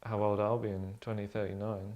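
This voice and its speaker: A man's voice: lowish voice, level tone, brisk, darkish tone. A man in his thirties talking casually and dryly in a group discussion, rambling as he goes, like a writer hosting a chat. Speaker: writer and host. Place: furnished indoor lounge.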